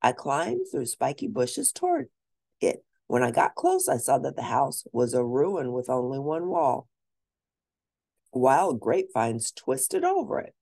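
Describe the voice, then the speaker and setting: A woman with a low, husky voice reads a children's story aloud. Her pitch swings very widely as she narrates, and she lingers on key words with deliberate expression. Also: huge pitch swings, warm tone, dry recording, studio quality. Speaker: storyteller reading a children's book.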